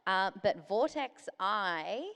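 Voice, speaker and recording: A woman hosting an on-stage interview in an upbeat, lightly teasing way. Her voice is smooth and resonant, with a long reverb from the big hall around her. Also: medium pitch, animated, takes it slowly, fairly bright tone. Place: convention stage, large hall.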